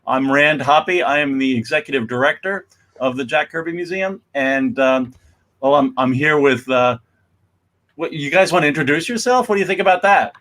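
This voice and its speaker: A man hosting an event with an informal introduction, speaking in a halting, rambling way. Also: a little high, a bit bright. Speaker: host, museum executive director.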